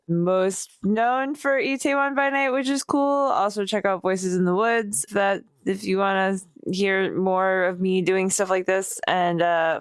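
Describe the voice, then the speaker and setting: A woman's voice: fairly low pitch, clear, resonant tone, dry recording, studio quality. A woman speaking casually as a role-play performer introducing herself on a podcast. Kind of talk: casual podcast sign-off chat.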